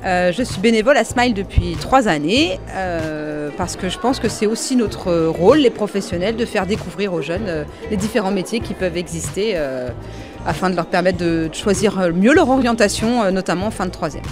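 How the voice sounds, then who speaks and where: A woman who works in finance, interviewed at her stand at an indoor trade fair, speaking with lively energy. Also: mid-range voice, a little fast, music in the background. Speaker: finance and admin worker, fair volunteer. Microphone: handheld recorder held by interviewer.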